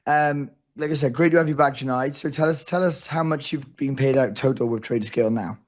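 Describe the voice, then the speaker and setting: Hoarse guy hosting a chat show, rambly, lots of restarts. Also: medium pitch, fairly quick. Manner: chatty, rambling, full of restarts. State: losing his voice. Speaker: host. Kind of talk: casual interview.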